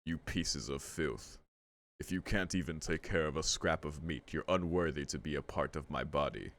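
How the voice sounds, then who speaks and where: A man voicing a menacing, inhuman villain in a visual novel, speaking slowly and contemptuously in a deep voice. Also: a bit bright. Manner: slow, contemptuous.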